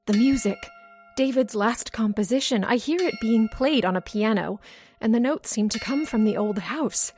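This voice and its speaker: An actress playing an anxious, frightened widow in an old-time radio horror drama, agitated and given to exclaiming. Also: female voice, fairly high pitch, normal speed, darkish tone, faint music behind, close to an everyday voice. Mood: anxious, haunted.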